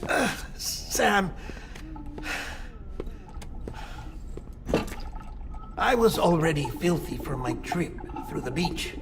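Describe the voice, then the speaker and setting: An actor playing an eccentric middle-aged scientist in a video game, chatty and secretive, with a wry, theatrical manner. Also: male voice, a little high, big pitch swings, measured pace, vocal fry, noisy background, heavily acted. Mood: disgusted, wry. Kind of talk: video game cinematic acting.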